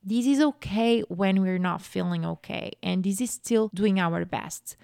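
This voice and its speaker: A young woman from Portugal, hosting a podcast in an earnest, reflective monologue that grows animated when she tells a story. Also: medium pitch, measured pace, creaky, clear, resonant tone, no room sound, clean studio sound. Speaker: podcast host.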